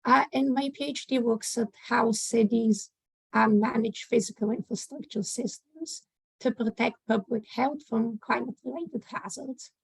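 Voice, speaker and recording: A woman's voice: fairly high pitch, normal speed, vocal fry, slightly rough, no echo, rough recording. A woman PhD student losing her voice, introducing herself hesitantly on a video call. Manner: hesitant, with many uhs.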